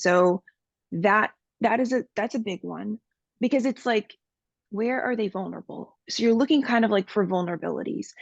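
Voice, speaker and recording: A woman talks openly as a podcast guest, her voice carrying a gravelly fry and a steady middle pitch. She speaks earnestly, with plenty of "um" and "like". The sound is close, dry and a bit dull. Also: no echo. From Miami, USA. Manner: earnest, candid, many fillers. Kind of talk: personal interview talk.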